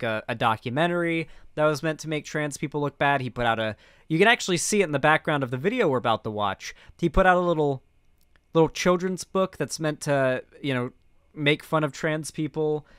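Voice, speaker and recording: A man's voice: on the high side, a bit bright, clear, resonant tone, dry recording. An American man in his twenties from Florida giving casual, opinionated commentary into a close microphone, conversational and blunt. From central West Florida, American South. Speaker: political commentary streamer. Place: small home streaming room, indoors. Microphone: close microphone on boom arm, headphones on.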